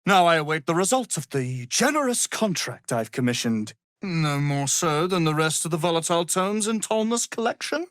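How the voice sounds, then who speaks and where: Pompous wizard, sweepingly dramatic pitch. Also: male voice, sing-song, moderate pace, fairly bright tone, no echo, clean studio sound. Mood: smug, condescending. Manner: pompous, condescending, theatrical. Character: arrogant, grandiose male wizard obsessed with power and immortality. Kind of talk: dramatic fantasy video game acting.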